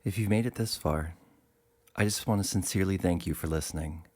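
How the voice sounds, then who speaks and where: A man with a low voice gives a sincere creator's note to listeners. The sound is dry, with no room around it. He talks plainly and directly. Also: a little fast, a little mellow, no echo. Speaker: creator and musician addressing listeners. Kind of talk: sincere spoken thank-you note.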